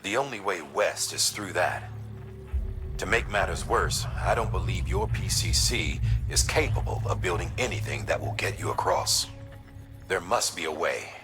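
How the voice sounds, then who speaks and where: An actor playing an earnest, resolute mission commander in a video game, speaking in a measured, encouraging way. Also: male voice, low voice, lively intonation, over music. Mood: concerned, determined. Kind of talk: video game dramatic dialogue.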